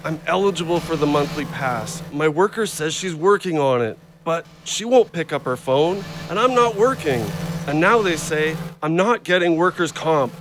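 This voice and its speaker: An actor in an audio drama playing an agitated, rambling injured logger who talks in insistent, over-precise detail. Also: male voice, a little high, animated, moderate pace, noisy background, lightly acted. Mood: frustrated.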